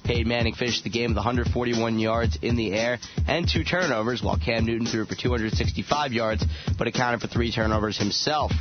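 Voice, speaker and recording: A man's voice: medium pitch, brisk, lo-fi sound. A young man reading a scripted morning sports update as a radio anchor. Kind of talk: scripted news read. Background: music bed.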